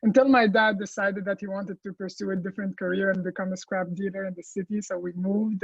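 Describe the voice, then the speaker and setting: Young man from Tunisia, gravelly, dull dry lo-fi sound, earnest. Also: high voice, no echo. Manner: earnest, rambling, self-correcting. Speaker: comedian and filmmaker panelist. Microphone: video call.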